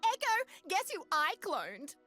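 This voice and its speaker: Squeaky, excitable little inventor. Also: female voice, takes it slowly, soft background music, full character voice. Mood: eager.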